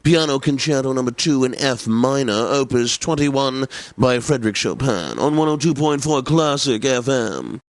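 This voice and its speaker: A man's voice: mid-range voice, moderate pace, hardly any pauses, dry recording, close to an everyday voice. Actor as pompous, suave classical radio DJ man. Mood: theatrical, smug. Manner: pompous, theatrical, savouring words. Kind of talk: radio announcing, video game comedy.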